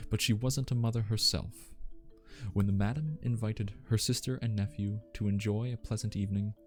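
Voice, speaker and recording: Genteel butler, male narrator in role, low-voiced and soft-toned, formal, gentle ASMR storytelling over music. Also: lightly acted. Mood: wistful, quietly indignant. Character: genteel, devoted male butler reminiscing about household staff.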